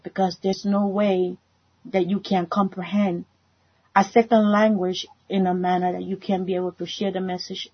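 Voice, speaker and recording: A woman's voice: medium pitch, husky. A woman whose first language is Spanish, sharing a spontaneous, heartfelt personal testimony as a church member. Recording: muffled lo-fi audio.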